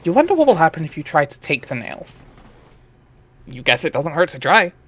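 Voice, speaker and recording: Higher-voiced man reading aloud casually. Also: wildly swooping pitch, fairly quick, a bit bright. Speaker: narrator of a webcomic dramatic reading. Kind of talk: casual read-aloud narration mixed with chat. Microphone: headset.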